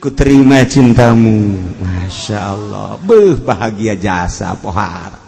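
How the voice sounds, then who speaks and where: Slow-talking Sundanese preacher man in a reverberant hall. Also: lowish voice, animated, gravelly, darkish tone. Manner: theatrical, mock-romantic recitation between colloquial asides. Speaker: Islamic preacher. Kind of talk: humorous storytelling sermon. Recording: echoey hall with long reverb.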